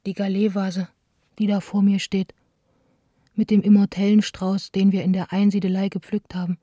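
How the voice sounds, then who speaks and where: A woman's voice: medium pitch, level tone, normal speed, mellow, dry recording, studio quality, lightly acted. An actress playing a lovesick young woman who reads her intimate, wistful letters aloud in a radio play. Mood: musing, tender.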